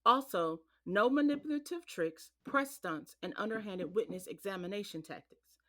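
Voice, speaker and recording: An actress playing a stern female judge who lays down courtroom rules in a firm, measured voice. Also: mid-range voice, animated, pushed and bright. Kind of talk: audio drama acting.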